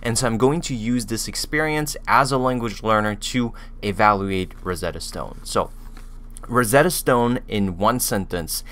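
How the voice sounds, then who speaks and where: A man with a French accent speaks in a low voice with a bright, forceful tone. He talks casually and hesitantly, often pausing on fillers and restarting. He is close to a studio microphone in a small room. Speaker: language learning YouTuber. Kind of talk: casual video review. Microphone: studio mic with pop filter on boom arm.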